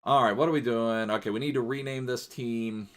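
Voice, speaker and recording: A man's voice: medium pitch, steady tone, a little fast. A man streaming a football video game live, chatting casually in a rambling way and thanking viewers as he plays.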